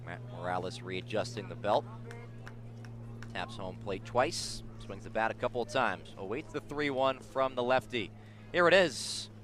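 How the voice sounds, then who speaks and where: A man's voice: on the low side, a little slow, slight background noise. A man calling baseball play-by-play for live radio, describing each moment in detail and rising with the action. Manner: descriptive, rising excitedly on big plays. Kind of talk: live radio sports commentary.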